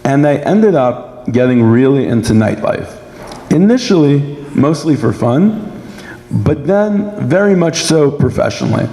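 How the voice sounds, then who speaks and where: Young guy from brooklyn doing a prepared speech w a sore throat, soft mellow tone, big echoey hall. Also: medium pitch, big pitch swings, unhurried. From Brooklyn, New York, United States. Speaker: guest speaker giving a personal testimony. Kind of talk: prepared speech at a dinner event. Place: large indoor event hall. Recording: echoey hall with long reverb.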